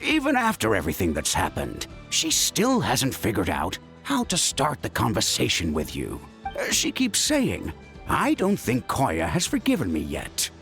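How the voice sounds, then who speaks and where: A man's voice: lowish voice, normal speed, soft background music, full character voice. An actor playing a caring, middle-aged father and healer in a video game, chiding and chatting in an exasperated way. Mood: fretful, chatty. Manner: animated, chiding, conversational.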